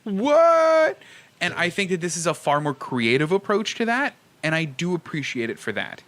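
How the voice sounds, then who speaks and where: A man co-hosting a podcast, discussing films casually with an animated, wry delivery. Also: sits high, moderate pace. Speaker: podcast co-host.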